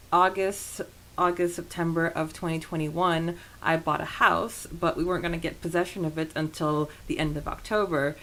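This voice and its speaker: A woman with a voice a little on the low side speaks as a podcast host. She tells personal stories in a casual, informal way, slipping into quoted dialogue as she goes. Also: poor audio.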